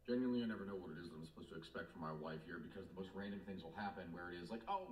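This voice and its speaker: A man's voice: lowish voice, a little mellow, distant mic, slight background noise. A man chatting casually about his wife, incredulous and rambling. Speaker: husband, streamer. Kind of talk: casual chat. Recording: echoey room, off the mic.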